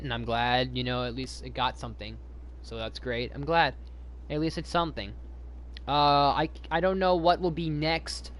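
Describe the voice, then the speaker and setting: A man's voice: mid-range voice, fairly bright tone, slight background noise. A man hosting a casual live stream, chatting to viewers in a rambling way, repeating himself and restarting his sentences.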